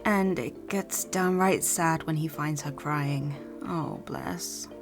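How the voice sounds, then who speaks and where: A young woman co-hosting a casual scripted recap commentary, playful and quick to swear. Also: low-pitched, music in the background. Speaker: co-host.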